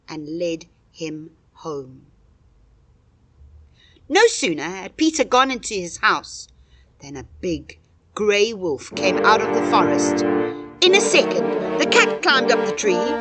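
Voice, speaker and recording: A woman narrating a scripted children's story in a dramatic, expressive storytelling manner. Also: medium pitch. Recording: music behind the voice.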